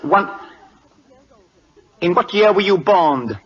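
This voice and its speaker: A man's voice: high voice, animated, slow pace, slight background noise. An actor playing an imperious, impatient King of Siam in an old radio drama, with a Siamese accent and broken English, barking repeated questions and commands. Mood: imperious, demanding. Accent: put-on Siamese. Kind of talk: 1940s radio drama acting. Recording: dull lo-fi old radio recording.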